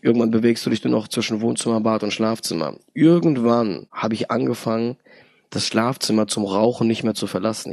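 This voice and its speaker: A man's voice: lowish voice, darkish tone, no echo, studio quality. A man in his thirties, a podcast guest, telling his personal story in a reflective way and often adding tag questions. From Germany. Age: 30s.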